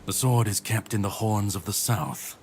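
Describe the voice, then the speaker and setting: Man playing a deep-voiced, grim warrior. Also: moderate pace, a little mellow, close to an everyday voice. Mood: businesslike, conspiratorial. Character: grim world-weary warrior man, self-styled savior on a mission. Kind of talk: dramatic video game dialogue acting. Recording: clear background noise and ambience.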